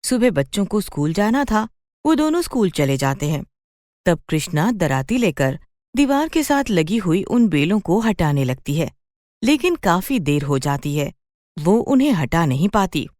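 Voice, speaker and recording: A woman narrator tells a scripted children's story in a fairly low voice. Her delivery moves widely in pitch, and the sound is dry and close. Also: sing-song, normal speed, darkish tone, dry recording.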